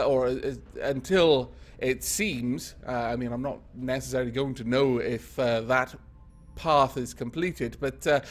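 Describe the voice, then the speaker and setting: A man casually commentating on a strategy game he is playing, thinking aloud with many fillers, a little congested from a cold. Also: on the high side. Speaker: gaming video host.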